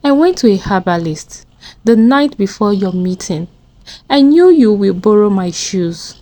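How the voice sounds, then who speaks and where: A woman's voice: medium pitch, a little mellow, no echo, natural voice. A woman voicing a jealous, bitter young woman, a treacherous friend in a folktale, with emotional, dramatic delivery.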